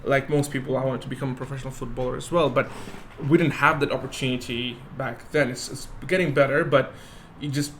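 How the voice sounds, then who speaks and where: A man from Bangladesh interviewing a guest casually, often saying "yeah" and pausing on "um". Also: medium pitch, fairly even intonation, a bit bright, a little hiss or hum. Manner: frequent "yeah" backchannels, hesitant with fillers. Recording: faint music behind.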